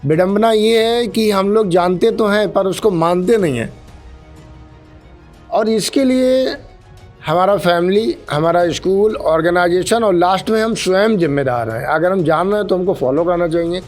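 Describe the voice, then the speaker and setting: A man's voice: high-pitched, moderate pace, a little mellow, faint music behind. A man, a police officer, giving formal, earnest explanations peppered with English terms in a studio interview. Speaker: senior traffic police officer, interview guest. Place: radio studio.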